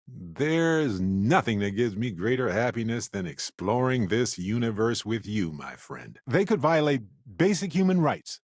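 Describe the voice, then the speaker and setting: An actor playing an affable, wisecracking space explorer and scientist in a video game, speaking in a playful, wry, conversational way. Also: male voice, mid-range voice, no echo, clean studio sound. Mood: earnest, sober. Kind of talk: video game companion dialogue.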